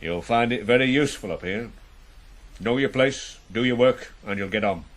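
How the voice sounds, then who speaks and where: An actor playing a stern, brusque middle-aged farm boss, authoritative and clipped in a radio drama. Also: male voice, mid-range voice, normal speed, natural voice.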